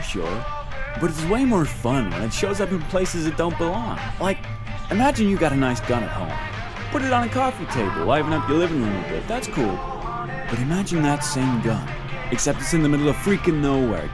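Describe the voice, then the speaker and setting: Guy doing a goofy crude courier dude from a game, slangy pitch, voice bounces around, loud music under it. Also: lightly acted. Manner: slangy, goofy, deadpan salesman patter. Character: laid-back crude dude pitching a road courier crew. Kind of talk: casual video game monologue. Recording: loud guitar music behind.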